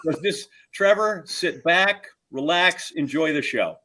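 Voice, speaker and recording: A man's voice: a little high, expressive, takes it slowly, crowd noise. A man co-hosting a podcast with casual banter and questions, joking and rambling as he goes. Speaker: podcast co-host.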